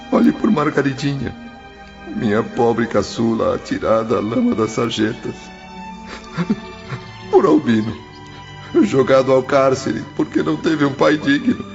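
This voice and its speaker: An actor playing a grieving father who prays for the children he abandoned, in a pleading, gravelly voice. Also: mid-range voice. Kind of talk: audio drama acting. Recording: dull lo-fi audio with music behind.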